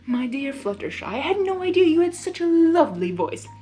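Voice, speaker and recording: A woman's voice: extremely high pitch, sing-song, warm tone, pitched above the performer's own voice. A woman voicing a theatrical male chaos creature, a mocking villain who feigns innocence in a story reading. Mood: mock-charming. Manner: mocking, mock-innocent, drawn-out.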